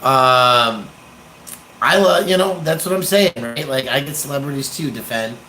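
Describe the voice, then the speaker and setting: Slow, halting American man livestreaming. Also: medium pitch, lively intonation, sharp tone, faint music behind, rough recording. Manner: hesitant, pausing, halting. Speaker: livestream host, activist. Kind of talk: rambling casual livestream talk.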